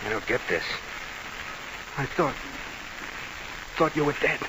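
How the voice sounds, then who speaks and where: A man's voice: medium pitch, lively intonation, slow pace. An actor playing a haunted, defensive nightclub manager in an old radio mystery, talking in jumpy, clipped tough-guy slang. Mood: stunned. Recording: noisy, hissy old radio broadcast.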